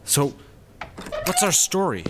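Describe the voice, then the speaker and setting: A man's voice: sits high, big pitch swings, slow pace, background noise, exaggerated voice. An actor playing an uptight, anxious cop, the fretful straight man of a comedy audio drama. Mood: worried.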